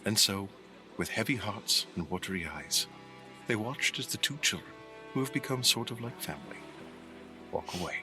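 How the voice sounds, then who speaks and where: A man's voice: lowish voice, lively intonation, normal speed, long pauses, over music, lightly acted. A man narrating a pirate adventure audio drama as a storyteller, in a scripted dramatic style. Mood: wistful.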